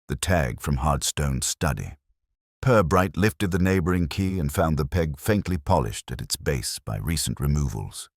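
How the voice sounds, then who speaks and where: A man's voice: a bass voice, lively intonation, moderate pace, dry recording, clean studio sound. A man reading a scripted literary mystery as its narrator.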